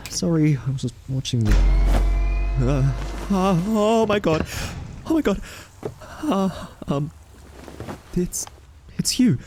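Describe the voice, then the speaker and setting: Man playing timid quirky male scientist, mellow soft voice, slow halting speech, nervous stammer, awkwardly polite, audio drama. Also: fairly high pitch, animated, slight background noise. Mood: flustered, startled. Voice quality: soft-spoken. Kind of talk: audio roleplay acting addressed to listener.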